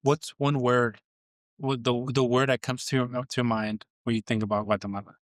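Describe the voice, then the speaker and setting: A man hosting a casual podcast interview, encouraging his guest with short agreeing replies and halting, searching questions. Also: mid-range voice, level tone, no echo, clean studio sound. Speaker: podcast host.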